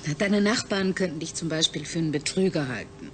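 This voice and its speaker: An actress playing a practical colleague on familiar terms in a German radio play. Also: female voice, low-pitched, lively intonation, a little fast, a little hiss or hum.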